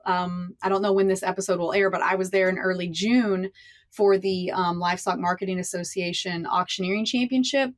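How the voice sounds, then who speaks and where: A woman hosting an interview podcast, welcoming her guest in a friendly, chatty way with a few restarts. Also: mid-range voice. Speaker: podcast host. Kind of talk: casual interview chat.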